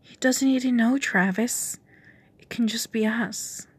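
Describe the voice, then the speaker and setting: Pushy young temptress for a story reading, voiced by a woman reader, slow, coaxing, half-whispered. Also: on the high side, breathy edge, no echo, pitched above the performer's own voice.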